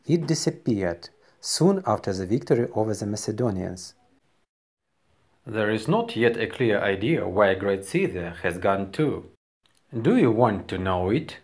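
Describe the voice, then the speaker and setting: A Ukrainian man with a Ukrainian accent, speaking English as a second language and narrating a history video carefully and deliberately. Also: lowish voice. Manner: careful, deliberate non-native delivery.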